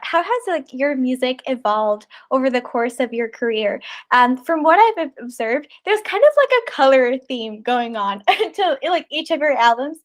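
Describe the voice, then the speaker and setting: Young woman host, high voice, hesitant, lots of ums. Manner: hesitant, many ums and giggles. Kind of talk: casual interview chat.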